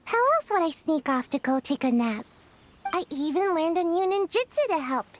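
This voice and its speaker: Drowsy, high-voiced little ninja girl. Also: sing-song, normal speed, a little hiss or hum. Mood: smug. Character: small sleepy young ninja girl, lazy and proud. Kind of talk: video game voice acting.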